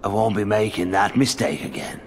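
An actor playing a sly, menacing older pirate captain in a video game, with a gravelly voice and a theatrical, sardonic drawl. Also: male voice, on the low side, brisk, slight background noise. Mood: cold, scornful. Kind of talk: video game cutscene acting.

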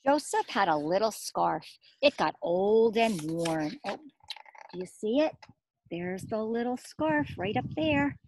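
A middle-aged woman reading a picture book aloud to young children as their teacher, expressive, asking questions and pausing for answers. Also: mid-range voice, a little hiss or hum, poor audio. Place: outdoors among trees and shrubs.

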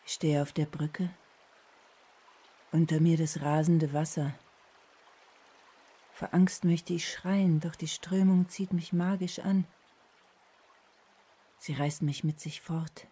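An actress playing a fearful woman who relives her childhood in a trance, halting and intense, in an audio drama. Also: low-pitched, fairly even intonation, dark tone, husky, exaggerated voice. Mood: frightened.

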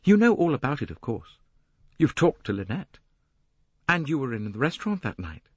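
A man's voice: medium pitch, big pitch swings, moderate pace, husky, no room sound, voice pushed up. A man voicing a bitter, desperate young woman with a hard-edged, intense manner, in an audiobook novel. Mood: passionate, insistent.

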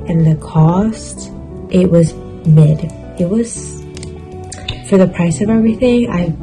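Young woman vlogger, low-energy and chatty, phone mic in a small room, music bed. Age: young adult. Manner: relaxed, chatty, filler-heavy with "like" and "kinda". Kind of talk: casual vlog chat to camera. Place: bedroom, indoors, small. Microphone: phone camera at arm's length.

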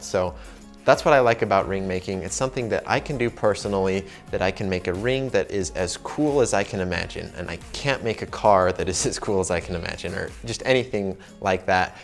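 A man in his twenties with an American accent, answering fan questions to camera in an informal, conversational way. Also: lowish voice. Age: 20s. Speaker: host, ring maker. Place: indoor workshop with wooden wall.

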